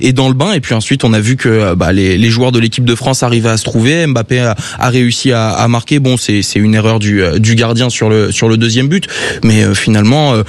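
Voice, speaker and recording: Man, football commentator, measured, hesitant, mid pitch. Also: no room sound. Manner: measured, hesitant, many fillers and repeats. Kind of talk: radio sports debate.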